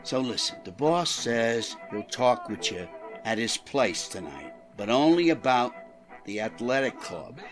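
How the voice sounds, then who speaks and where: A man's voice: medium pitch, very animated, measured pace, faint music behind. An actor playing a pushy, menacing 1920s Chicago gangster's henchman with streetwise tough-guy slang in an audio drama. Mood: brusque, businesslike. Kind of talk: period radio drama acting.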